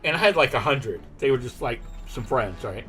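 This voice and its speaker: A man's voice: mid-range voice, lively intonation, moderate pace, pushed and bright, faint music behind. A man chatting casually and telling anecdotes, acting out quotes and checking in with the listener. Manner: animatedly quotes himself and others, frequent "right?" tags. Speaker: language-learning live streamer.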